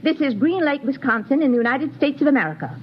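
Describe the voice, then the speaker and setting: Brief: prim housewife, high voice that swings widely, old radio hiss. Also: female voice, expressive, fast talker. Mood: indignant. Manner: prim, brusque, scolding. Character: prim, indignant small-town housewife. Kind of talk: 1950s radio drama acting. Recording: dull 1950s radio broadcast, faint hiss.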